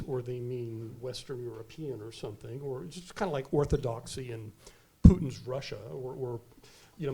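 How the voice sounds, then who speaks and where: A man delivering a conference keynote off the cuff, his voice loud and emphatic. He speaks a touch higher than most men. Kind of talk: unscripted conference talk. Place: conference hall.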